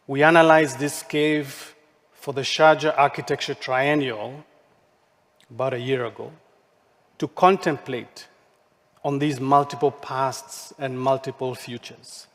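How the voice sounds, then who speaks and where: Slow, measured man speaking English as a second language. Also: mid-range voice, steady tone, a bit bright. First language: not English. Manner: slow, deliberate, rhetorical questions. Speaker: architect giving a keynote talk. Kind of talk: measured poetic lecture. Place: large hall at a forum. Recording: long hall reverb, stage mic.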